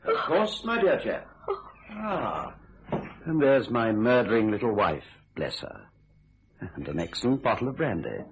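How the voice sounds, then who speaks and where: An actor playing a suave, callous husband in a radio thriller, urbane and mocking. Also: male voice, medium pitch, big pitch swings, measured pace, pauses often, muffled recording, slight background noise. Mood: mocking, jovial. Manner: urbane, drawling, theatrical.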